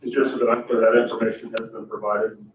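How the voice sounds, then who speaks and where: A man's voice: lowish voice, little pitch movement, quick pace, a little hiss or hum. A man answering carefully and apologetically as a stand-in presenter at a public meeting. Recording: echoey, distant, muffled meeting recording.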